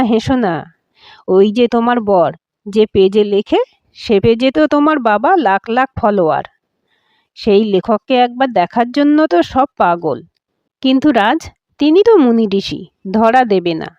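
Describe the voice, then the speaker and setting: A woman voicing a groom's chatty young male friend in an audio story, talking in an animated, teasing way. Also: a little high, unhurried, long pauses, dry recording, highs cut off.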